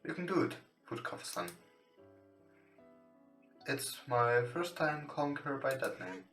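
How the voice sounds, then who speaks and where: A man who is not a native English speaker, casually commentating on a game and reading its text aloud in hesitant, halting English. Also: medium pitch, very animated. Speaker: let's play host. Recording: roomy, distant mic with music behind. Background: soft guitar game music.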